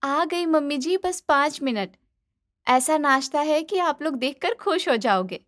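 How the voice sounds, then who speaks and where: A woman playing an eager, expressive young daughter-in-law who coaxes and pleads in a cartoon moral story. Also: high-pitched, unhurried. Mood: cheerful. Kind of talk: animated moral story dialogue.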